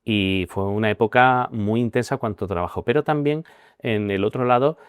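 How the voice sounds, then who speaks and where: Man in his 40s from Spain, very low voice, dry close sound, thoughtful guest who hesitates a lot. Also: smooth, no room sound. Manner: measured, reflective, hesitant with many fillers. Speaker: guest, managing partner. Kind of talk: conversational interview.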